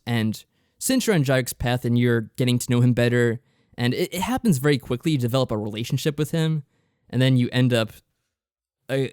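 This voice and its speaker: A man's voice: medium pitch, a little mellow, clear, resonant tone, no room sound, clean studio sound. A man co-hosting a podcast, explaining things in a casual, loosely phrased review style. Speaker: podcast co-host.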